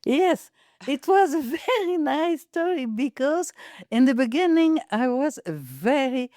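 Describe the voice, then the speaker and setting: Slow-spoken older Belgian grandmother. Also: high voice, sing-song, warm tone, no echo, studio quality. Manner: halting, searching for English words. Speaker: podcast guest. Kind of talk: storytelling.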